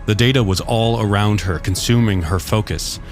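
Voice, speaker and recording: Male narrator, lower register, reading scripted sci-fi fiction with prominent music underneath. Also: normal speed.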